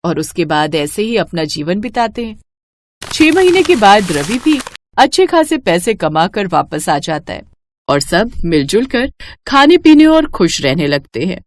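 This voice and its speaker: A woman narrating a scripted moral story in an expressive storytelling manner. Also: medium pitch, unhurried.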